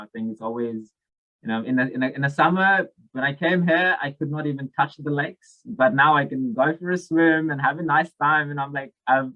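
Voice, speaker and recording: A man's voice: medium pitch, narrow, tinny audio. A man with a South African accent, speaking thoughtfully at a conference over a video call, with many fillers and restarts.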